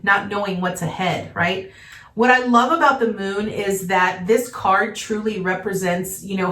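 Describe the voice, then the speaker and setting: A woman's voice: mid-range voice, a bit bright, recorded from a distance. A woman giving a casual tarot reading, earnest and reassuring, often checking in with "okay?", her voice a little worn from congestion. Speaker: tarot reader. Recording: echoey room, voice a little off-mic.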